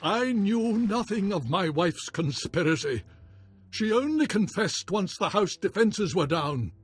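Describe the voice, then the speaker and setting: Husky, high-voiced imperious baron. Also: male voice, big pitch swings, measured pace, exaggerated voice. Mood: contrite, formal. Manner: grand, formal, theatrical. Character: proud, imperious nobleman, a baron. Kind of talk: video game voice acting.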